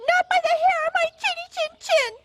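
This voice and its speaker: A woman voicing the little pigs in a children's puppet show, small and cheeky, squeaky and taunting. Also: fairly even intonation, a little fast, heavy background noise. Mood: defiant. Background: loud music.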